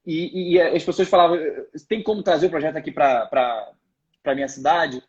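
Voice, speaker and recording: A man's voice: fairly high pitch, fairly even intonation, fairly quick. A man speaking as a guest in a live online interview, explaining his views hesitantly with many restarts. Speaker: guest, financial education project member. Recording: muffled video call audio.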